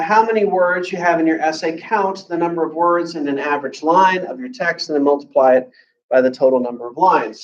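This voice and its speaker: An American man speaks as a college instructor in a slightly high voice. He explains things informally and hesitantly, in an easygoing way with mid-sentence pauses. The sound is echoey and muffled, picked up at a distance from the mic in a classroom. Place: classroom with students.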